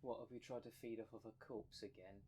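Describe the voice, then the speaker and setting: A man's voice: on the low side, steady tone, brisk, mellow, a little hiss or hum, lightly acted. A man playing the impatient, sarcastic half of a dream demon in an ASMR roleplay, blunt and slangy. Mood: skeptical. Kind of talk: ASMR roleplay audio drama.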